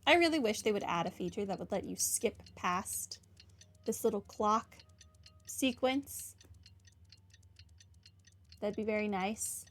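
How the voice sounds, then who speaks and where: A woman's voice: mid-range voice, slow, pauses often, a bit bright, slight background noise. A woman giving casual commentary as she plays through a video game.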